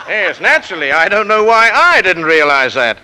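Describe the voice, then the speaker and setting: An American actor playing a genial, self-satisfied coffee company boss in a 1940s radio play, with an effusive, pompous manner. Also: male voice, high voice, sing-song, a little fast, noisy background. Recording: vintage 1940s radio broadcast.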